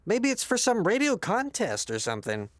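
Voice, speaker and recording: A woman's voice: mid-range voice, wildly swooping pitch, moderate pace, no room sound, clean studio sound. A woman playing an earnest, literal-minded park ranger in a comedy audio drama, polite, pedantic and easily flustered. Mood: polite, curious.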